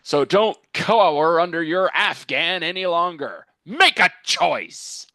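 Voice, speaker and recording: Mock-commercial spokesman, older male played by a man: ominous, deliberate, earnest, very varied intonation, dry sound. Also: very animated, bright, forceful tone, dry recording, heavily acted. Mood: grave, ominous. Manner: grave, deliberate sales pitch with dramatic emphasis. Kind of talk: mock television commercial read.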